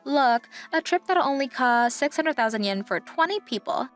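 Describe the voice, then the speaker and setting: A woman's voice: high voice, expressive, moderate pace, soft background music. A woman playing a condescending young female travel agent in a manga dub, with a phony, mock-polite manner. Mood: pushy, smug. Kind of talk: English manga dub acting.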